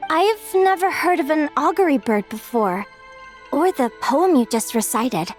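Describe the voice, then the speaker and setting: A woman playing an earnest, curious young fae woman in a video game, expressive and persistent in her pleading. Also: sits high, a little slow, faint music behind. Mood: thoughtful. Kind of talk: animated video game voice acting.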